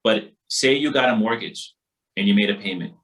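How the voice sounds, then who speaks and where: A man's voice: on the low side, moderate pace, fairly bright tone, rough recording. Emphatic man teaching personal finance. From Barbados. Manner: emphatic, colloquial, repeats catchphrases. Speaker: financial literacy workshop instructor. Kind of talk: informal motivational teaching in a workshop.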